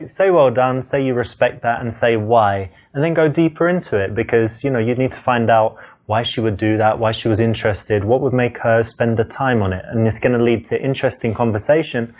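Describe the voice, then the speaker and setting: A self-assured British dating coach, played by a man, speaks in a medium-pitched voice. His delivery is conversational, like friendly instruction in a live-action video game. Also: natural voice. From London, England. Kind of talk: conversational acting and instruction to viewer.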